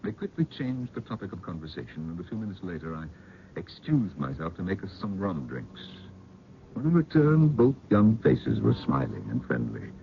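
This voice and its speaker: An actor playing a haunted older man, telling ghost tales in a halting, dramatic old-time radio style with muttered pauses. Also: low voice, big pitch swings, creaky, exaggerated voice. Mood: brooding, bitter. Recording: muffled phone-like radio recording, faint noise.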